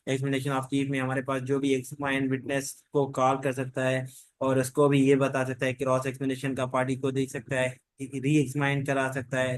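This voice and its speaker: A man's voice: medium pitch, no echo. A man teaching a class in an informal, rambling lecture style, often repeating stock phrases. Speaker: teacher giving an online law class. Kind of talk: informal lecture mixing Hindi-Urdu and English legal terms.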